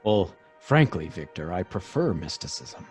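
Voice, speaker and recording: Jaunty young student, radio drama. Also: male voice, low-pitched, very animated, unhurried. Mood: wry, teasing. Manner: jaunty, wry. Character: breezy, joking young male student, charming idler. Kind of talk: old-time radio drama acting. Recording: music under the voice.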